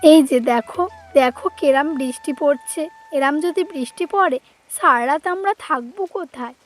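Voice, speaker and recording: A woman's voice: high voice, moderate pace, slight background noise, lightly acted. A woman playing a poor, devoted, anxious young housewife in a cartoon.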